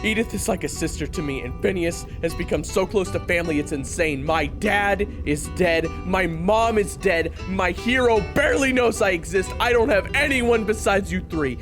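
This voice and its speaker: A woman's voice: on the low side, normal speed, hardly any pauses, music in the background, close to an everyday voice. A woman voicing a loyal, grief-stricken young man in an audio roleplay, with urgent, emotional, pleading delivery. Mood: desperate, grieving. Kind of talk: audio roleplay drama acting.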